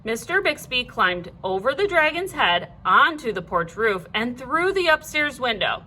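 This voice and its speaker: High, bright woman reading a storybook. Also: measured pace, a little hiss or hum. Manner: animated, clearly phrased storytelling. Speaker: teacher reading a picture book aloud. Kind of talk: expressive children's storybook read-aloud.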